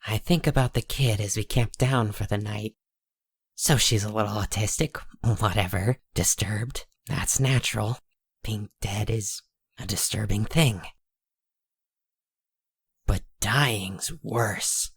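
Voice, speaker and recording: A man playing a cynical, hard-bitten dead man who narrates a comic dub in a husky, world-weary, folksy way. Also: on the low side, a bit bright, exaggerated voice. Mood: brooding.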